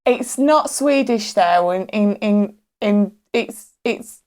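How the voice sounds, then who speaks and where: A woman's voice: medium pitch, lively intonation, slow pace, darkish tone. A woman co-hosting a comedy podcast who laughs a lot and teases the others. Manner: laughing, teasing commentator. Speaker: podcast co-host. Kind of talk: casual comedic chat.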